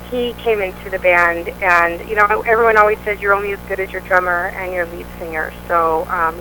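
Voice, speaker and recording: Woman guest, bright tone, upbeat and chatty, faint background hiss. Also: mid-range voice, low-quality recording. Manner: upbeat, chatty, many fillers. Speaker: band vocalist, interview guest. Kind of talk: casual interview answers.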